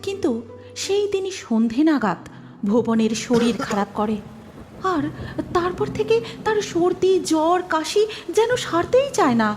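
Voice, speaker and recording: Female cartoon narrator: high, lively storytelling over music. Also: unhurried. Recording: music behind the voice.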